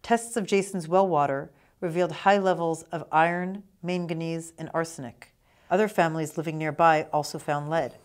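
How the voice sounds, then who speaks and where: A woman's voice: low voice, steady tone, normal speed. A woman, around her thirties, reading scripted documentary narration as a researcher.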